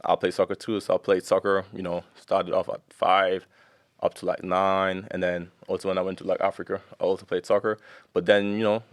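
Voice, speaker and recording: A man's voice: low-pitched, brisk, no room sound, studio quality. A young man from Germany, a podcast guest, chatting casually with lots of fillers. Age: young adult. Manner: relaxed, rambling with many fillers. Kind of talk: casual chat.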